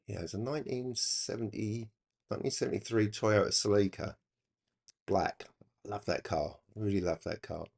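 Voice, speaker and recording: An older man reminiscing casually in a halting, rambling, reflective way. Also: low voice, creaky. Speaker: hobby radio channel presenter.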